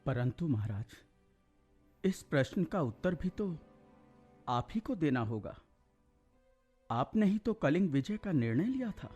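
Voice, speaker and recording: Husky, animated royal chief minister. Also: male voice, on the high side, unhurried, pauses often, faint music behind, full character voice. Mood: challenging, firm. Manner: formal, deferential yet challenging. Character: loyal, questioning chief minister of an ancient royal court. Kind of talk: Hindi radio drama acting.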